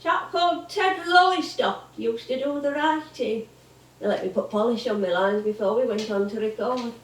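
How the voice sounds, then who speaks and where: A woman's voice: a little high, normal speed, close to an everyday voice. A woman playing an old, homely, wistful music-hall comedienne with a Northern English accent on stage. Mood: reminiscing. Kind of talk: stage monologue and dialogue acting. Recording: distant mic in echoey theatre.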